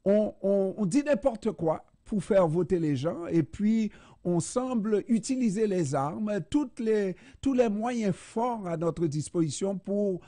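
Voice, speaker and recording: Slow, hesitant man hosting radio. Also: high-pitched, animated, mellow, no echo, studio quality. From Haiti. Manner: slow, deliberate, many hesitations. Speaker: radio host. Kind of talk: radio interview.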